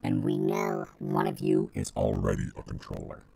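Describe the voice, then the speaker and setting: A man playing an anonymous hacker informant who gives grim, deliberate warnings in an audio drama. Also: sits high, wildly swooping pitch, gravelly, loud music behind the voice, heavily acted. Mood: ominous warning. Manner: slow, deliberate, ominous.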